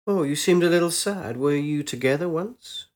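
A woman's voice: sits low, normal speed, darkish tone, voice pushed up, lightly acted. A man voicing a bewildered, ancient woman who speaks in eager, faltering bursts. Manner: faltering, uncertain questions.

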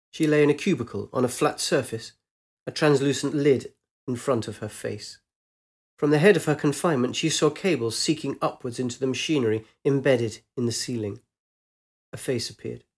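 Croaky guy reading a story, steady and even, middle pitch. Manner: measured, steady narration. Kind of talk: audiobook story narration.

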